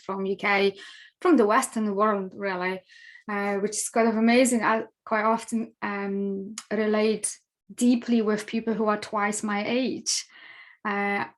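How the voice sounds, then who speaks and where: A woman in her thirties with a Polish accent tinged with Scottish, speaking reflectively as a podcast guest. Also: medium pitch. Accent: Polish with a touch of Scottish. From Poland. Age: 30s. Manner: reflective, thoughtful with frequent fillers. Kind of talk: conversational interview.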